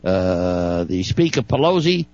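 A man's voice: sits low, sing-song, slow pace, a little mellow, dry recording, highs cut off. A man with a Brooklyn accent giving folksy, wry legal commentary on a talk radio show, often stumbling and repeating words.

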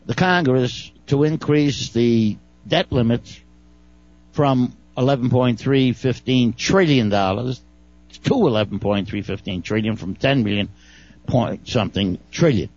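A man's voice: medium pitch, measured pace, darkish tone, no echo, dull audio, soft background music. A man with a Brooklyn accent giving folksy, wry legal commentary on a talk radio show, often stumbling and repeating words.

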